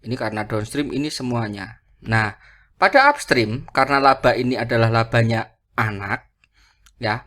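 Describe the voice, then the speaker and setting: A man's voice: medium pitch, a bit bright. Man teaching step by step. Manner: didactic, asks and answers own questions. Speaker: accounting lecturer. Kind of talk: explanatory lecture walking through worked calculations.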